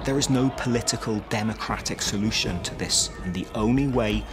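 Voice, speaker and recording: Low-voiced man speaking firmly in interview. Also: fairly quick, noisy background. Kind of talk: documentary interview. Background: music score.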